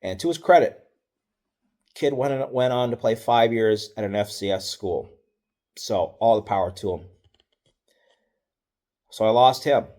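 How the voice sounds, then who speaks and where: A middle-aged man, a football coach, telling stories from past seasons in a relaxed, wry, matter-of-fact way, with fillers and self-corrections. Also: mid-range voice, a little slow, pauses often. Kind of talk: casual storytelling.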